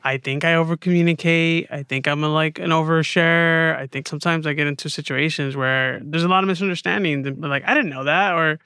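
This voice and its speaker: A woman's voice: sits low, fairly even intonation, brisk, hardly any pauses, a bit bright, clear, resonant tone, no room sound, studio quality. A woman hosting a podcast interview in casual chat, inquisitive and a little self-deprecating.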